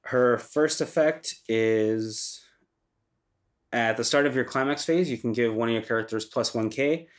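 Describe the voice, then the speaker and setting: A young man with a congested, stuffy-nosed voice hosts a casual explainer. He sits at an ordinary middle pitch and talks loosely, with frequent ums and restarts. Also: unhurried. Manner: loose and conversational, frequent ums and self-corrections. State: congested from a cold. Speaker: channel host reviewing a card game deck.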